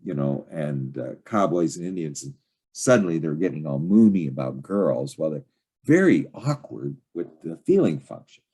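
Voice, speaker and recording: An older man giving an informal study-group lecture, reading and commenting in a halting, digressive way with unfinished sentences. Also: a bass voice, very animated, warm tone, husky.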